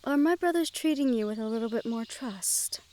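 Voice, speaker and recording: A woman playing a sheltered young noblewoman in a fantasy audio roleplay, gentle, wistful and quietly willful, with formal phrasing. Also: a little high, animated, normal speed, natural voice. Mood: playful, fond. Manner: formal speech with few contractions. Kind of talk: fantasy audio roleplay acting, addressed to the listener.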